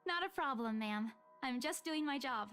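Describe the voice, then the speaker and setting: A young female estate agent with a high, polite voice, as a man puts her on. She stammers and trips over her words whenever she is pressed. Faint music sits under this visual novel reading. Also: lively intonation, moderate pace, bright, forceful tone, smooth, exaggerated voice. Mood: flustered.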